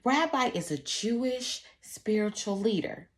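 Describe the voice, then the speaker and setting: Expressive, unhurried woman teacher. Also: a little high. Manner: instructive, emphatic, addresses children directly. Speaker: schoolteacher. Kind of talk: children's read-aloud lesson.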